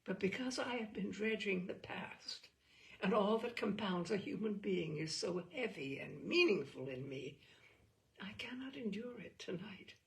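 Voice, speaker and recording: A woman reading a short story as a weary, regretful working mother, in a halting, deliberate, intense way. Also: mid-range voice, expressive, vocal fry. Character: weary, regretful working mother confiding about her daughter. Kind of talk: dramatic first-person short story reading.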